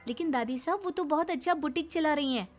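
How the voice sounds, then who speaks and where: Woman playing a high-voiced, outspoken young daughter-in-law. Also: quick pace, faint music behind, natural voice. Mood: pointed politeness. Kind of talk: dramatised moral story.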